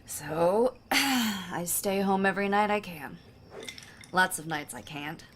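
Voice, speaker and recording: A woman's voice: lowish voice, slow, fairly bright tone, slight background noise. An actress playing a lovelorn, sardonic woman diplomat, conversational and hesitant, in an audio drama. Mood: hurt, subdued. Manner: hesitant, conversational, self-correcting.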